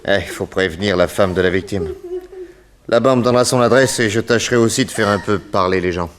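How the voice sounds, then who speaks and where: An actor playing a calm, methodical male detective in a radio serial, reassuring and measured as he explains. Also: lowish voice, natural voice. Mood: brisk.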